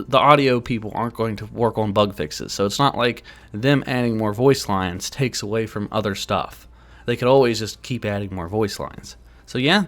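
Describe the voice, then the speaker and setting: A man's voice: medium pitch, fairly bright tone. A man giving casual gaming news commentary as a YouTuber.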